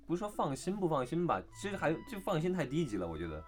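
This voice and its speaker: A man's voice: a little high, huge pitch swings, quick pace, over loud music. A man talking casually as a voice actor guest in a conversation, emphatic and often repeating short phrases for stress. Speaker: voice actor guest on an audio drama chat. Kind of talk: casual chat.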